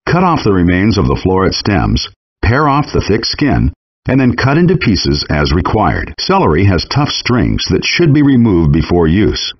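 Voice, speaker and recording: A man reading scripted step-by-step cooking instructions as a video game's chef guide, in a calm, even instructional manner. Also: deep voice, normal speed, husky. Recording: dull lo-fi game audio rip.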